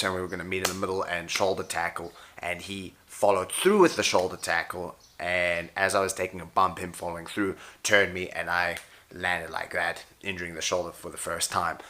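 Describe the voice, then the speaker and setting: Guy in his 30s, low voice but bright and forceful, rambly and wry. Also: steady tone, a little fast. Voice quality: voice tires easily from past throat injury. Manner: rambling, self-correcting, wry. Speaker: pro wrestler and jiu-jitsu and boxing coach. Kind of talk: unscripted casual talk to camera.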